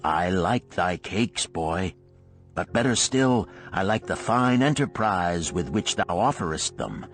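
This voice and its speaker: A man's voice: deep voice, lively intonation, unhurried, fairly bright tone, faint music behind, heavily acted. A man voicing a kindly, encouraging rug merchant in an audiobook, speaking in archaic phrases. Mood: warm approval. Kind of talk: acted audiobook dialogue.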